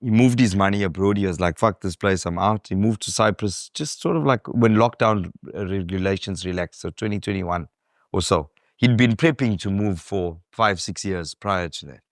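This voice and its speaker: A South African man hosts a relaxed conversation in a fairly low voice. He rambles loosely and packs his talk with fillers and slang, and the sound is dry and up close. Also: normal speed, no echo. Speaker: podcast host. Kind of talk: casual conversation.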